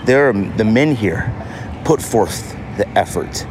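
Casting: American male podcast host, very unhurried delivery, casual and emphatic, recorded over loud urban street noise. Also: on the low side, animated. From United States. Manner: emphatic repetition of phrases. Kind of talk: casual solo podcast monologue. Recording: noisy outdoor city street ambience. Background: street noise, traffic, banging.

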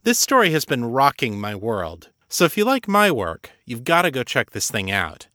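A man's voice: medium pitch, wildly swooping pitch, moderate pace, bright, forceful tone, no room sound, studio quality. A man speaking as a podcast host, interviewing and addressing listeners.

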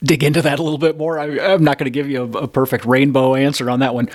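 A man in a mid-range voice speaking as a financial advisor guest on a podcast. He is blunt and plain-spoken, often restarting his phrases, and slows down to drive important points home. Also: mid-range voice, little pitch movement. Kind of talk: conversational interview answers.

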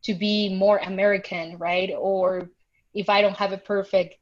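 A woman's voice: medium pitch, level tone, moderate pace. A woman from Mexico with a Mexican accent, a podcast guest earnestly recounting a personal experience over a video call. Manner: earnest, thoughtful, recounts with emphasis. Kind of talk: reflective personal storytelling. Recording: muffled lo-fi video call audio.

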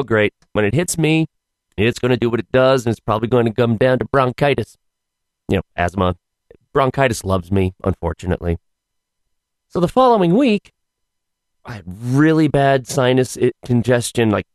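A man hosting a casual solo podcast, hoarse, rambling with wry asides. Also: medium pitch, no room sound. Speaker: podcast host.

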